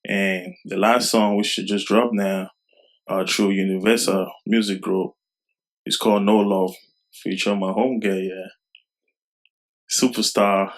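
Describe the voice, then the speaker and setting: A young man from Cameroon, raised in Germany, a rapper answering interview questions in a soft, halting way with many fillers. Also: fairly low pitch, rough recording. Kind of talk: casual interview talk.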